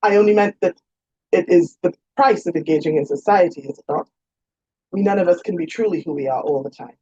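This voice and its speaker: A wry young society lady , as a woman narrator reads her, formal and teasing. The voice is husky and mellow in a storybook romance, slightly lo-fi. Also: fairly low pitch, brisk. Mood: earnest. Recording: roomy, dull lo-fi sound.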